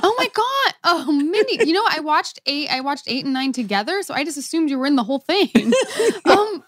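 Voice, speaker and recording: A woman co-hosting a podcast, bubbly and animated, jumping in with quick reactions. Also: sits high, dry recording, studio quality. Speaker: podcast co-host, actress. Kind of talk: casual podcast chat.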